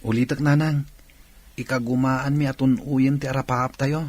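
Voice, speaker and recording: A man's voice: mid-range voice, little pitch movement, normal speed, a little mellow, no room sound, natural voice. A young man playing an earnest, respectful son in a radio drama.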